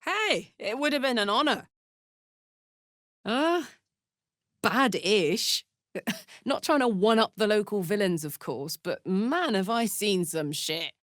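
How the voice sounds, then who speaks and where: A woman's voice: medium pitch, animated, takes it slowly, pauses often, no room sound, studio quality. A woman playing a brash, battle-hungry warrior with a big heart in a video game, speaking informally and emphatically. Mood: breezy, teasing. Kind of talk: video game character voice lines.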